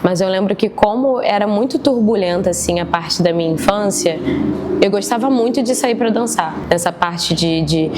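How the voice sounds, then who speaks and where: A woman's voice: medium pitch, moderate pace, very noisy. A woman in her twenties from Rio de Janeiro, speaking thoughtfully with hesitant restarts in a documentary interview. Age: 20s.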